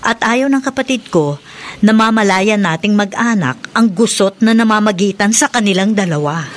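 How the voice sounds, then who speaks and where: Actress as reassuring wife and mother in radio drama; lively intonation, faint noise. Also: medium pitch, moderate pace, natural voice. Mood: reassuring, confiding. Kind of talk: Filipino radio drama acting.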